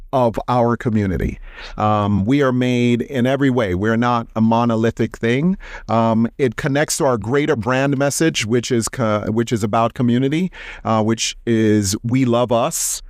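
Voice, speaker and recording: A man answering interview questions in a measured way, with frequent filler sounds. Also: mid-range voice, no room sound, studio quality. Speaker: interview guest, company executive.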